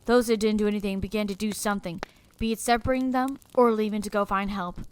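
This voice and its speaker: Woman, dramatic horror narration, grave delivery, medium pitch, hushed drops. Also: fairly even intonation, fast talker, slight background noise. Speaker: storyteller.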